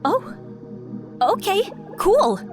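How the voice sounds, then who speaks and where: Woman playing an excitable high-voiced female robot researcher. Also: very slow, music in the background, full character voice. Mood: eager, delighted. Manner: excitable, informal. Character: eager, excitable young female robot researcher, shy wallflower. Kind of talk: audio drama acting.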